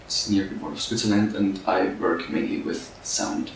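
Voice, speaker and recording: A man's voice: low-pitched, moderate pace, gravelly. A man from Austria with an Austrian accent, a native German speaker, explaining a project as a sound artist. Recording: roomy echo, faint noise.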